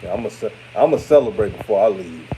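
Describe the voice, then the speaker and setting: A man's voice: fairly low pitch, lively intonation, quick pace, heavy background noise. A thirty-year-old man with an older-sounding radio voice, hosting a casual sports livestream and chatting conversationally with viewers. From Houston, Texas, United States. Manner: conversational, addresses viewers as family. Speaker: sports show host. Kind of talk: casual livestream postgame chat, reading and answering comments.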